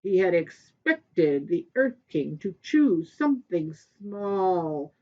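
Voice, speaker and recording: Slow, expressive woman storyteller. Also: mid-range voice, muffled recording. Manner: expressive, deliberate pauses. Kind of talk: animated children's story reading.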